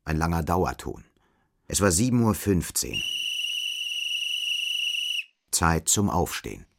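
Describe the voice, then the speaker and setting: A man's voice: low voice, big pitch swings, slow, creaky, very noisy. A man narrating a scripted radio drama as its storyteller. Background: faint music.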